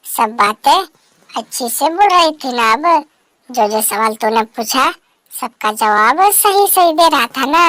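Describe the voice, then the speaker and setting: A woman's voice: high-pitched, expressive, slow pace, sharp tone, dry recording, exaggerated voice. A woman voicing a concerned, advice-giving female bird in a cartoon story, urging and repeating her words. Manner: urging, repetitive. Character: busybody female bird friend, concerned and advising. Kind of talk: Hindi animated bird story dialogue.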